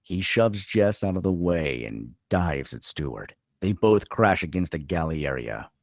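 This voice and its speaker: A man's voice: sits low, big pitch swings, unhurried, no room sound, studio quality. A man reading stage directions as dramatic scripted narration at a screenplay table read.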